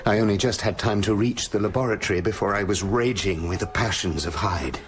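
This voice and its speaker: An actor with a British accent playing a tormented scientist with a sinister other self, speaking formally and intensely in a radio play. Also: male voice, lowish voice, a little fast, soft background music, lightly acted. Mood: weary, haunted. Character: tormented male scientist slipping into his menacing, sinister alter ego. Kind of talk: dramatic radio play acting, confessional monologue.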